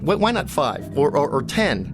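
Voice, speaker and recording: An actor playing a vain, panicky television cowboy star who babbles theatrically. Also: male voice, a little high, big pitch swings, normal speed, vocal fry. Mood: complaining. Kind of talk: radio drama acting. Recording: radio drama with music behind.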